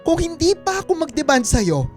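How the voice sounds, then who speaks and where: A woman's voice: sits high, very animated, normal speed, darkish tone, faint music behind. A woman acting a hurt, suspicious Filipino husband in a radio drama, pleading and reproachful. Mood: accusing, pleading. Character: wounded, suspicious husband, a struggling small-shop mechanic.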